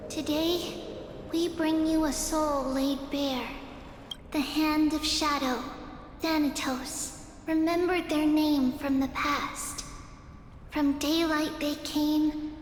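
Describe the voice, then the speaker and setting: High-voiced, solemn priestess, unhurried. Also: female voice, slight background noise. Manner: solemn, reverent, measured. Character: solemn high-voiced female priestess leading a sacred rite. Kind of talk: ceremonial invocation, dramatic game voice acting. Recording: echoing hall reverb, music behind.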